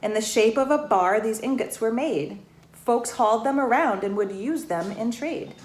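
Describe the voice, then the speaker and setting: A woman with a fairly high voice reads a rhyming picture book to children. Her delivery is expressive, with asides and questions to the listeners. The sound is echoey and roomy, with slight noise behind her. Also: moderate pace. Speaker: bank employee reading a storybook to children. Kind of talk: children's storybook read-aloud, rhymed verse with asides to listeners. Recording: roomy echo, faint background noise.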